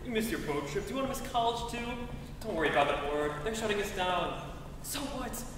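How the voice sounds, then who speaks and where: A man's voice: high voice, animated, brisk, noisy background. A man acting a jolly, muddled, blustery uncle in his fifties in a staged old-time radio play. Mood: defiant, flustered. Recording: echoey hall from far off, music.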